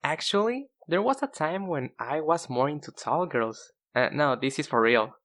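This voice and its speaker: A young man with as his language hosts a casual, comedic video. His voice sits on the high side for a man and swings widely in pitch as he pokes fun at himself. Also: moderate pace. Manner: self-deprecating, animated. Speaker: video host. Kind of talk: casual scripted comedic narration to viewers.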